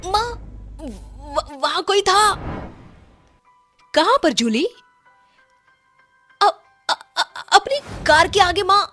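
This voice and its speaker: A woman voicing a frightened teenage daughter in an animated storybook, her lines high and jumpy. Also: extremely slow, pauses often, faint music behind, full character voice. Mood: scared. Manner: high, jumpy, panicky when scared.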